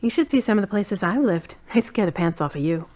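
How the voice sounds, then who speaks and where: A woman's voice: mid-range voice, expressive, a little fast, dark tone, lightly acted. A woman playing a kind, skeptical, teasing friend in an amateur audio drama, candid and wry. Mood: casual, wry.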